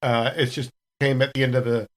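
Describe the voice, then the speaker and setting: A man's voice: mid-range voice, little pitch movement, fairly quick. A man on a podcast, chatting casually and recounting anecdotes as one of the cast. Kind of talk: casual chat, telling anecdotes.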